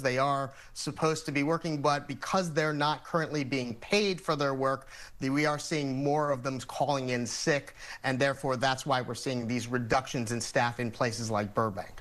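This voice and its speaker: An American man speaking as an expert guest in a TV news interview, explaining things in a measured, methodical way. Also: medium pitch, fairly even intonation, fairly bright tone.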